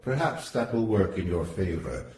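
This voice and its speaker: Man acting very deep, solemn count. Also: sing-song, moderate pace. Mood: solemn calm. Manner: solemn, formal, reassuring. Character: grave, measured male count, a solemn intercessor. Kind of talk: gothic audio drama acting. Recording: roomy, distant, noisy amateur recording.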